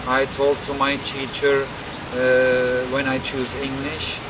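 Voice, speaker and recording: Turkish-accented guy talking slow and hesitant, flat voice, mic kinda far, loud hum behind. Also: mid-range voice. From born in Germany, raised in Turkey. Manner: halting, many fillers and restarts. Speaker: video lesson creator. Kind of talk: casual personal talk.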